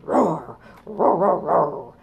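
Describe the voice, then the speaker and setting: A woman's voice: fairly low pitch, big pitch swings, extremely slow, vocal fry, a crowd in the background, voice pushed down, exaggerated voice, rough recording. A woman voicing a boastful little dinosaur from a picture book, roaring and stretching out emphatic words. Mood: boastful, fierce.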